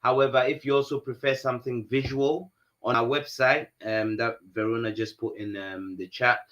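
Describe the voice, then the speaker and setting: A man from Ghana co-hosting a live Q&A stream, answering viewers softly and haltingly with many fillers. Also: mid-range voice, fairly even intonation. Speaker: co-host.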